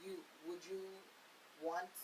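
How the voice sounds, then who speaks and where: A woman's voice: on the low side, level tone, slow. A woman explaining her views conversationally on a radio panel, earnest and emphatic, often posing rhetorical questions. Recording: faint hiss.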